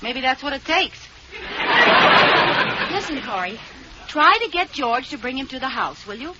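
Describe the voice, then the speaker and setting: An actress playing a spirited young housewife in a 1940s radio comedy, bubbly and coaxing, quick with a comeback. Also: female voice, high-pitched, expressive. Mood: chatty. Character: scheming, spirited young housewife set on acting. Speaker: lead in a radio sitcom. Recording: dull, hissy old radio transcription.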